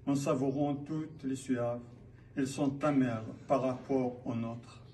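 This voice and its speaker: A man from Kabylia in Algeria declaiming his own poetry in a solemn, measured manner. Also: medium pitch, fairly even intonation, warm tone. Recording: distant mic, faint background noise.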